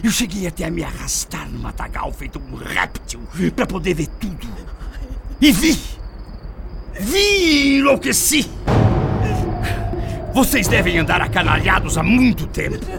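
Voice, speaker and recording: Tormented father, high gravelly voice. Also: sing-song, unhurried, pauses often, music in the background, exaggerated voice. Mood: bitter, raging. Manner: melodramatic, anguished, erupting into shouts. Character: tormented, obsessed adoptive father, lamenting and violently jealous. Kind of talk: radio soap opera acting.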